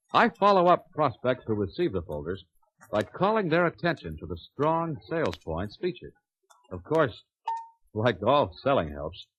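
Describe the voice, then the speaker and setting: A man playing a confident, plain-spoken American truck salesman, giving a persuasive, folksy scripted testimonial in a 1950s training filmstrip. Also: on the low side. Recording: muffled, noisy 1951 filmstrip soundtrack.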